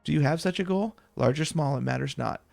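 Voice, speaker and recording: A man voicing a proud adventurer leader from a fantasy game, reading the dialogue in an earnest, formal, creaky voice. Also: on the high side, a little fast, a little mellow, no echo. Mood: earnest, lofty.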